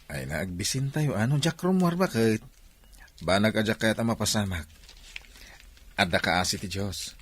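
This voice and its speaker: Man as a low-voiced village fisherman husband. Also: expressive, moderate pace, long pauses, fairly bright tone, a little hiss or hum. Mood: reassuring. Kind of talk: Ilocano radio drama acting.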